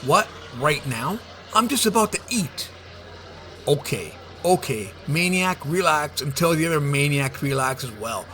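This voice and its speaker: A man's voice: a little high, animated, measured pace, bright, forceful tone, slight background noise. A man playing a crude, irritable glutton in a comedy audio drama, coarse, joking and grumbling. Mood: exasperated.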